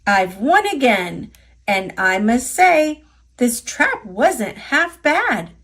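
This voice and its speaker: A woman's voice: a little high, very animated, slow, fairly bright tone, heavily acted. An American woman voicing a cocky, playful little gingerbread man from a storybook, chanting boastful taunts in a sing-song manner.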